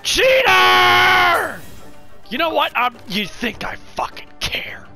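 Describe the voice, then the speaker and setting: A gaming streamer with a strained, worn-out voice, yelling excited trash talk at an opponent during a card game. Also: very high voice, slow, vocal fry, soft background music. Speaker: gaming content creator. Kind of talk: excited gameplay commentary.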